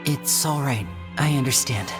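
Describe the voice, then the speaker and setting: An actor playing an earnest, guarded young stage magician in a video game, speaking in a measured, explanatory way. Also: male voice, medium pitch, lightly acted. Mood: earnest, sincere. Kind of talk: video game story voice acting. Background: background music.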